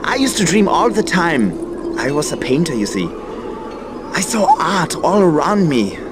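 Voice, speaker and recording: A man's voice: fairly high pitch, big pitch swings, a little slow. A man playing a whimsical, cryptic dreamer in a fantasy video game, musing in a theatrical manner. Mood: wistful. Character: whimsical, cryptic male dreamer, once a painter. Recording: noisy game cutscene capture.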